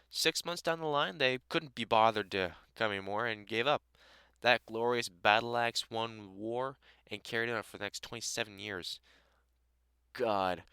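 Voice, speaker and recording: A man's voice: medium pitch, vocal fry, pushed and bright, smooth, dry recording. A British man playing a dry, sarcastic vampire hunter in a conversational audio roleplay, chatting informally to the listener. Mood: wry, teasing. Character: gruff, sardonic but welcoming male vampire hunter.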